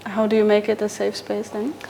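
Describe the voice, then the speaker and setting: A woman's voice: mid-range voice, brisk, creaky, a little hiss or hum. A woman hosting an interview, asking short questions and giving frequent brief acknowledgments. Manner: brief prompts and steady backchannel. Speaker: podcast host.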